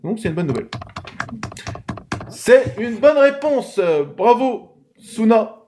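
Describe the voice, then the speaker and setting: Male live-stream host, high voice, chatty, runs a quiz for callers. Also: big pitch swings, takes it slowly, a little mellow, slight background noise. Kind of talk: casual live-stream chat.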